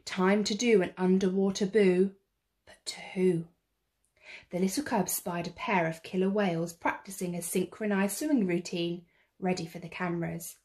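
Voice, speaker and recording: A woman reading a children's picture book aloud in an expressive, theatrical way, drawing out the action words. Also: fairly low pitch, normal speed. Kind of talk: expressive read-aloud storytelling.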